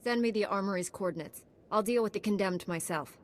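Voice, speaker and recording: An actress playing a composed, dutiful female knight in a video game, measured and matter-of-fact, with faint background noise. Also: mid-range voice, natural voice. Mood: composed, resolute.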